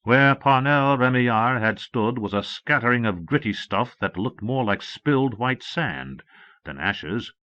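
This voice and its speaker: A man's voice: medium pitch, lively intonation, unhurried, bright, forceful tone, no echo. A man reading a novel aloud as a scripted first-person audiobook narration. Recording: muffled old cassette audiobook.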